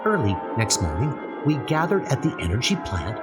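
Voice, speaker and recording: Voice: mid-range voice, sing-song, moderate pace, close to an everyday voice. An actor playing a solemn, distressed inhabitant of a doomed city in a dramatized comic book audio drama. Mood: grave dismay. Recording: loud music under the voice.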